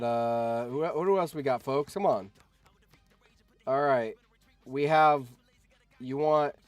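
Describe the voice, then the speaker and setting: A man's voice: a little high, no echo. A man hosting a livestream, chatting casually with viewers and thinking aloud, often repeating his phrases. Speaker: livestream host.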